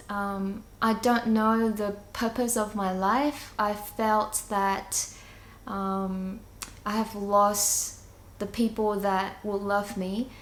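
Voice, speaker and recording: A young adult woman who grew up in China and Singapore, giving a personal testimony in a hesitant, reflective way with frequent pauses. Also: medium pitch. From China, moved to Singapore at nine. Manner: hesitant, reflective, pausing often mid-sentence. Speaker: church member giving a testimony. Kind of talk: personal testimony, unscripted monologue. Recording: echoey room, faint background noise.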